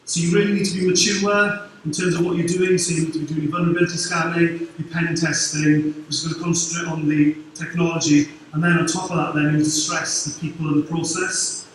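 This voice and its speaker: Welsh male conference speaker, middle-aged, quick and informal, faint hall ambience. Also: on the high side, little pitch movement, a little hiss or hum. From Wales. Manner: quick, informal, dry humour. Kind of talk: conference talk. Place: large dark conference hall. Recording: very echoey hall, distant mic.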